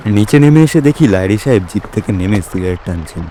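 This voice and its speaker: A man playing a detective's friend who narrates a mystery in measured, atmospheric literary storytelling. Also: on the low side, lively intonation, background noise, lightly acted. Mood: measured, suspenseful. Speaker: narrator in an audio drama.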